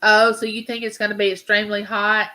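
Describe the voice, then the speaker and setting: Bright-voiced Southern woman in her fifties. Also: mid-range voice, little pitch movement. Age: 50s. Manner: chatty, folksy, drawn-out phrases. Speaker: YouTube livestream host. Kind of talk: casual live chat with viewers.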